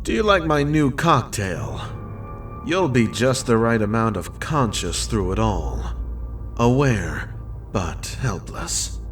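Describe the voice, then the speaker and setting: A man playing a gloating, predatory kidnapper in a dramatic animated story, taunting with mock politeness. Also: mid-range voice, takes it slowly, recorded from a distance, faint music behind. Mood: menacing. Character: menacing, gloating male kidnapper and captor, predatory. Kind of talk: dramatic animated fan-fiction acting.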